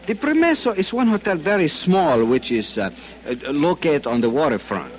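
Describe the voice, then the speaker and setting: An actor playing a courtly, smitten Panamanian police captain with a Latin American Spanish accent, speaking ornate, halting English in 1950s radio drama style. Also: male voice, fairly high pitch, big pitch swings. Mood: helpful, hesitant. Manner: halting, ornate foreign English with frequent hesitations. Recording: muffled old radio broadcast.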